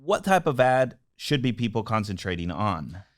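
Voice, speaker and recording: A man's voice: fairly low pitch, big pitch swings, moderate pace, dry recording, clean studio sound. A man hosting a casual business podcast, talking informally and prompting his co-host along.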